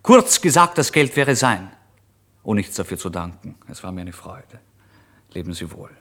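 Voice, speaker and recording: A man's voice: low voice, very animated, normal speed, heavily acted. An actor playing an impoverished, irritable and impulsive male writer, telling his story in a theatrical, emphatic radio monologue. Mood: haughty, outraged, then curtly dismissive. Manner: theatrical, emphatic, swinging between hushed and loud. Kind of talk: literary first-person radio monologue, dramatised narration.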